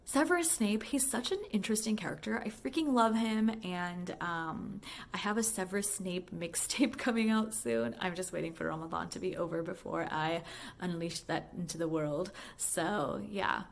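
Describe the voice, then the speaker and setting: A woman's voice: fairly low pitch, normal speed, creaky. A woman talking in a casual, chatty monologue like a voicemail, musing and conversational with lots of filler words such as "like". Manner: musing, conversational, heavy use of "like" and "you know". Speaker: high school English teacher and book fan.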